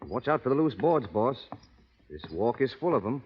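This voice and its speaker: An actor playing a loyal, fretful cowhand sidekick with folksy rustic talk and a husky voice in a 1930s radio western. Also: male voice, medium pitch, very animated, moderate pace, a little hiss or hum, close to an everyday voice. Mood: anxious, loyal. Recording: dull 1930s radio stage recording, faint audience.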